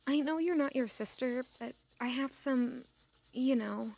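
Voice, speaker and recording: A woman's voice: sits high, a little slow, creaky, darkish tone, full character voice. A woman playing a polite, reserved young lady in a fantasy audio drama. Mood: hesitant, confiding.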